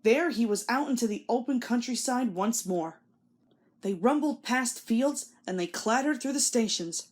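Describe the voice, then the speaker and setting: A woman narrates a children's storybook in a fairly high voice. Her pitch moves in a very lively way as she reads the scripted story aloud. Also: moderate pace.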